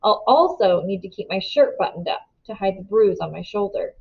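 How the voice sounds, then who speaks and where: A woman reading a children's novel aloud with expressive, first-person storybook narration. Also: medium pitch, moderate pace, creaky, muffled recording. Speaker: storybook reader.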